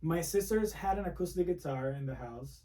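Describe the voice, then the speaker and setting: A man from the Republic, a guest speaking softly and reflectively in a casual interview. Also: a little high, normal speed, distant mic. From Dominican Republic. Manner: soft-spoken, reflective, frequent restarts. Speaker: guest, music producer.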